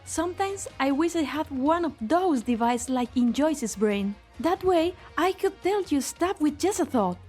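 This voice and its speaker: Casting: actress as young, loyal researcher mage, game fan dub, high voice, expressive and wry. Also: female voice, unhurried, hardly any pauses, faint music behind. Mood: exasperated, troubled. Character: spirited, loyal young female researcher and spellcaster. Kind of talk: amateur fan dub game drama acting.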